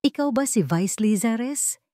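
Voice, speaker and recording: A woman's voice: on the high side, animated, unhurried, mellow, no room sound, clean studio sound, pitched above the performer's own voice. A woman voicing a bold, serious seven-year-old boy in an audio drama.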